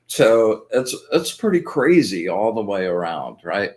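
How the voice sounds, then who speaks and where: A man with a very low voice hosts a loose, chatty spiritual show. He rambles with plenty of fillers and restarts and gets excited as he explains his practice step by step. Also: slow. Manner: rambling and enthusiastic, many fillers. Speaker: host. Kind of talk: casual spiritual talk.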